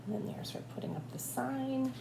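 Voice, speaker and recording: A woman reading a storybook aloud like a teacher, with expressive, deliberate phrasing for children. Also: sits high, gravelly. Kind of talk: storybook read-aloud narration. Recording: echoey room, faint background noise.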